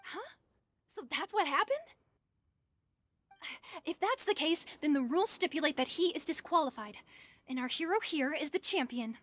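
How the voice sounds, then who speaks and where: A woman's voice: high-pitched, lively intonation, moderate pace, long pauses, fairly bright tone, a little hiss or hum. A woman playing a polite, proper young tournament official in an anime-style game, explaining things courteously. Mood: polite, decisive.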